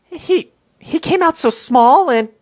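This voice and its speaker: A woman's voice: sits high, lively intonation, slow pace, no echo. A woman voicing a timid, nervous servant in a comic dub, hesitant and stammering. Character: timid, nervous servant bearing bad news.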